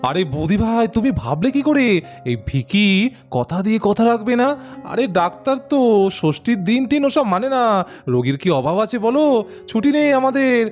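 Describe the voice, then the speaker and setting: A man's voice: extremely high pitch, big pitch swings, fairly quick, darkish tone. A man voicing a cheerful young son-in-law doll in a cartoon story, chatty and breezy. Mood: self-justifying. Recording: music behind the voice.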